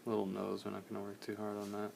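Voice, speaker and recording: A young man, slightly hoarse, chatting casually in a relaxed, rambling way while he streams a drawing session. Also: on the low side, fast, noisy background. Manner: relaxed, rambling, many fillers.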